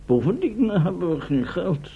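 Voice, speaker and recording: A man's voice: high-pitched, big pitch swings, unhurried, exaggerated voice. An actor playing a gloomy, fussy captive professor in a Dutch radio play, pompous and wordy in his fretting. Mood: indignant. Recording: muffled, noisy old radio play recording.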